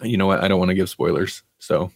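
A man's voice: sits low, fast talker, vocal fry, slightly rough. A man co-hosting a TV review podcast, chatting casually in a rambling, opinionated way with frequent asides. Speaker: podcast co-host reviewing TV series. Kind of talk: casual chat.

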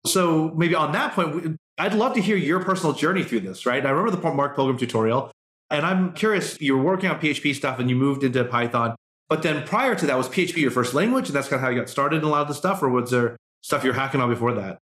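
A man's voice: fairly high pitch, fast talker, fairly bright tone, reverberant. A man hosting a podcast interview, musing at length in a casual, discursive way with lots of fillers. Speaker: podcast host.

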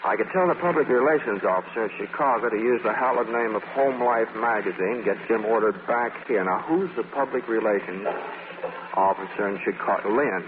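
An actor in a 1940s radio play, playing a wry, charming magazine writer with a hesitant, drawling way of talking. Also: male voice, fairly low pitch, fairly quick, close to an everyday voice. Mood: wry, scheming. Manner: hesitant, drawling, repeating himself. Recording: muffled, crackly 1940s radio recording.

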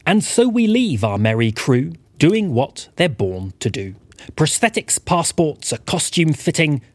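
Animated, husky male rhyming storyteller. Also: on the high side, a little slow, no room sound. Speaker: co-narrator and writer. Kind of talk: scripted rhyming storybook narration.

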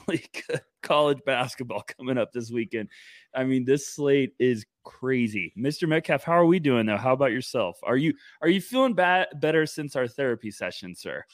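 A man co-hosting a casual sports podcast, talking in an energetic, animated way and rambling through restarts. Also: medium pitch, no echo, studio quality. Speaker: podcast co-host.

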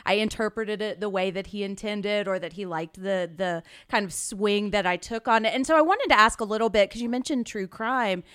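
A woman's voice: mid-range voice, normal speed, few pauses, fairly bright tone, clear, resonant tone. A woman hosting a podcast, asking questions in a chatty, casual way peppered with "like". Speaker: podcast host interviewing a guest. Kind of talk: casual interview chat.

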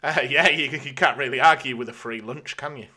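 A man's voice: medium pitch, a little fast, bright, forceful tone. A British man hosting a podcast in casual chat, with a dry, jokey delivery. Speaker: podcast host.

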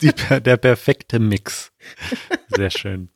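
A man co-hosting a podcast in relaxed casual chat, often hesitating and searching for words. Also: fairly low pitch, slow pace, creaky, over loud music. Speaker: podcast co-host.

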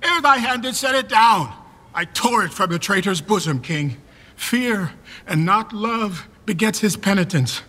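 A stern old nobleman in a Shakespearean radio play. His voice is high and sharp, unhurried but vehement in its declamation. He sounds like an outraged, unforgiving father in a long-echoing hall. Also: expressive, exaggerated voice. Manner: declamatory, vehement verse delivery. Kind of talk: Shakespearean radio drama acting.

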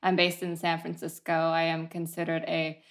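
A therapist who was born in Vietnam, calmly explaining history and family trauma as an interview guest. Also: male voice, on the high side, normal speed. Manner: calm, explanatory.

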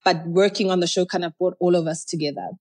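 A woman rapper from Zimbabwe, a guest telling stories in a chatty, animated way in a casual interview. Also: on the low side, fast.

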